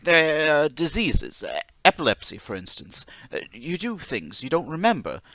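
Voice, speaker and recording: A troubled man played by an actor in an old time radio play, confessing in desperation. His voice is bright and tense and swings widely in pitch as he stammers and pleads. The sound is close and dry. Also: huge pitch swings, no echo, lo-fi sound, exaggerated voice. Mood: anxious, halting. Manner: halting, agitated, stammering, pleading.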